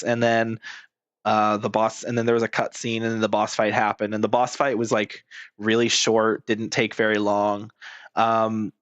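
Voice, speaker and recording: A man's voice: lowish voice, a bit bright, dry recording, highs cut off. A man doing casual, rambling game commentary as a streamer, blunt and informal with plenty of swearing.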